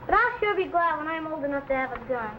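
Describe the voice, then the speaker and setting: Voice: sits high, animated, fast talker, highs cut off. A performer playing a plucky frontier boy of eight or nine in an old drama, earnest and folksy. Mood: eager, boastful. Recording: noisy vintage serial recording.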